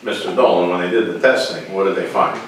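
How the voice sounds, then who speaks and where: A man's voice: low-pitched, fast, fairly bright tone, a little hiss or hum. A man seated at a meeting table, talking bluntly and at length about town business, recorded by a far camera. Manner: blunt, opinionated, talks in runs. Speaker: first selectman. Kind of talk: meeting discussion. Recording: very echoey room, from far off.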